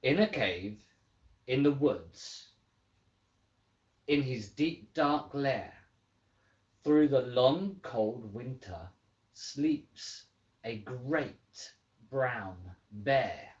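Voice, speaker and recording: A woman with a very deep voice hosts story time for little ones. She is playful and expressive, taking her time with lively swings in pitch, in a roomy home recording. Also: very slow, pauses often, muffled recording. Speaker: mother hosting a children's story time. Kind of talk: storybook read-aloud and casual chat to children.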